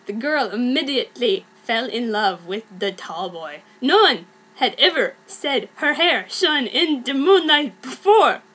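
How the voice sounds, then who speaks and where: A young woman with a high-pitched voice performs an amateur dramatic reading. She goes slowly and deliberately, delivering clumsy fan writing exactly as written. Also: a bit bright, low-quality recording. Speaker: amateur fanfiction reader.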